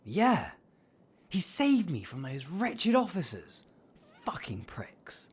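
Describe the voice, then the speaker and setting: Man acting a slow, subdued, rough-tongued loyal friend. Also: fairly high pitch, wildly swooping pitch, heavily acted. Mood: grateful, bitter. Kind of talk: audio drama acting.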